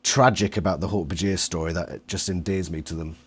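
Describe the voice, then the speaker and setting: A British man chatting casually as an interviewer, jokey and quick to swear. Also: sits low, steady tone, slightly rough. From Britain.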